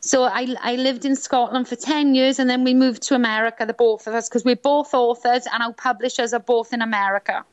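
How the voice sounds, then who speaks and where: A woman's voice: fairly high pitch, steady tone, fairly quick, hardly any pauses, no room sound, dull audio. A woman with a Welsh accent from South Wales, a guest author talking earnestly and with enthusiasm in a radio interview.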